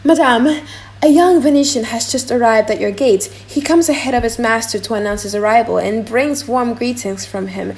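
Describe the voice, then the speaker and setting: Woman playing an eager, high-voiced messenger. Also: moderate pace, a little mellow, natural voice. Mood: eager, admiring. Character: eager, admiring household messenger bringing news. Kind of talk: dramatic audiobook acting.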